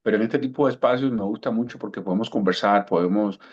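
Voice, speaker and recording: Man in his fifties, Cuban accent softened by years in Colombia, low voice, reflective storyteller who restarts phrases, lo-fi call audio. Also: fast, slightly rough. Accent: Cuban Spanish softened by years in Colombia. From Cuba. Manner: thoughtful, repeats words while searching for them. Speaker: guest coach. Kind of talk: relaxed storytelling interview answer.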